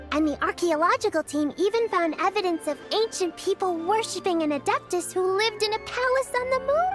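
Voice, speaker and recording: A woman voicing a cheerful, caring young girl in a video game, patiently explaining things in a singsong, reassuring way. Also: very high voice. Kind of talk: video game character dialogue.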